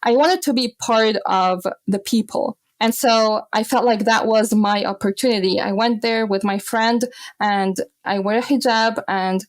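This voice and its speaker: A woman in her twenties who grew up in Austria, telling stories as a podcast guest, animated and often laughing. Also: fairly high pitch, unhurried, no room sound. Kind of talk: casual podcast interview.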